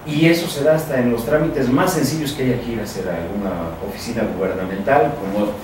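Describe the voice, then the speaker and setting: A man's voice: medium pitch, quick pace. A man with a Mexican accent giving conversational commentary as a radio host, discursive and often checking for agreement. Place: small radio studio, indoors. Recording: echoey, distant, noticeable background noise.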